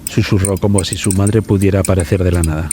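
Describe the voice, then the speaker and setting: A man narrating a scripted suspense horror story for a podcast in a dramatic, measured way. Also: deep voice, steady tone, dark tone. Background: background music.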